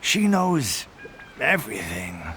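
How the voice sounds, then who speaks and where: A man's voice: medium pitch, very animated, takes it slowly, background noise. A man playing a lazy, dreamy, solitary soldier in a video game, speaking in a drowsy, languid way. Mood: dreamy, sleepy. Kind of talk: animated game dialogue.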